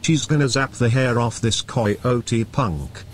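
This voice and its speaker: A man's voice: medium pitch, takes it slowly, a little hiss or hum. Guy reading donation messages, plain mid voice, straight read. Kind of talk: reading viewer messages aloud.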